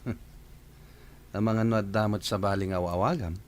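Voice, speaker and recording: An actor playing a gruff, nosy head of a household who questions and gives orders in a radio drama. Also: male voice, fairly low pitch, steady tone, moderate pace, lightly acted. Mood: stern, suspicious. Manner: questioning, commanding.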